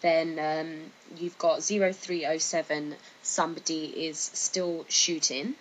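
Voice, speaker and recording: Low-voiced woman, tentative narrator. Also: flat delivery, slow pace, low-quality recording. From United Kingdom. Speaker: video narrator. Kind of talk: informal explanatory commentary. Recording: faint background noise.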